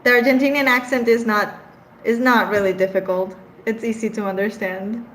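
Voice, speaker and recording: A young woman from Venezuela with a Venezuelan accent, whose first language is Spanish, chatting casually with her live-stream audience and laughing often, her voice trailing off softly. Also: a little high, fairly even intonation, moderate pace, a little hiss or hum, poor audio. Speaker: streamer answering live chat.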